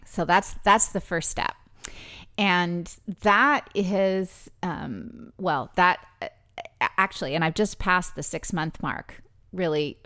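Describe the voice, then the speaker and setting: A woman's voice: fairly low pitch, fairly bright tone, smooth. Earnest woman thinking aloud. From British Columbia, Canada. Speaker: podcast guest. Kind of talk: conversational interview.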